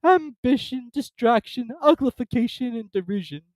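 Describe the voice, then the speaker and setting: A reader voicing the Mock Turtle, a sorrowful old sea creature who talks slowly with heavy sighs. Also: medium pitch, expressive, a little mellow, no echo, voice pushed up, natural voice, poor audio. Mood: mournful. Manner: slow, sighing, drawn-out delivery.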